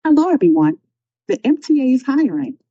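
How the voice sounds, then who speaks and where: A woman's voice: sits high, big pitch swings, brisk, warm tone, slightly rough, dry recording, dull audio. A woman delivering a scripted recorded public announcement for a transit system.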